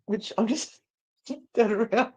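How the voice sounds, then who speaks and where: A woman's voice: fairly high pitch, takes it slowly, mellow, slightly rough. A woman hosting a casual live stream, chatty and playful as she addresses the viewers. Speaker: show host and narrator.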